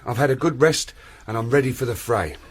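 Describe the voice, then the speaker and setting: A man's voice: mid-range voice, a little fast, close to an everyday voice. An actor playing an eager young male police detective who talks in an earnest, chatty way. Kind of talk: radio drama acting.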